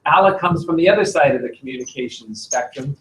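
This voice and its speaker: A man moderating a panel and introducing speakers smoothly and formally. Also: fairly high pitch, animated, fast talker, fairly bright tone, slight background noise. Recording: distant mic in an echoey room.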